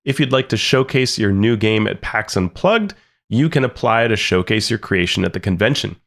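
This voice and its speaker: A man's voice: on the low side, lively intonation, normal speed, gravelly, a bit bright. A man co-hosting a podcast, sharing announcements in an informal, conversational way. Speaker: podcast co-host. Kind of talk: informal news reading.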